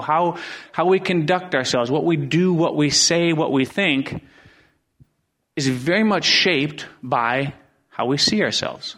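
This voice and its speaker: A man's voice: a little high, fairly bright tone. A middle-aged man from Wisconsin preaching a Sunday sermon in a church, with a conversational, rambling delivery full of restarts. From Wisconsin, USA. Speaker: pastor. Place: church sanctuary.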